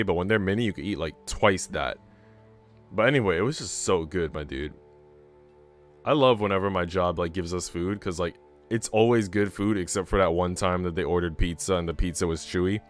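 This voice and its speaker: A man livestreaming in casual chat, talking in a slangy, profane, bro-ish way. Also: low-pitched. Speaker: streamer. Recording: music behind the voice.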